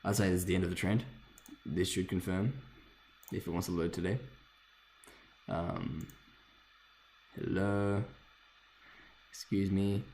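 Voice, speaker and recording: A man with a hoarse voice casually thinking aloud while reviewing trading charts, rambling, swearing freely and correcting himself. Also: low-pitched, fairly even intonation, a little slow, pauses often, darkish tone, slight background noise, poor audio. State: hoarse, lost voice from screaming. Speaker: forex trader reviewing charts.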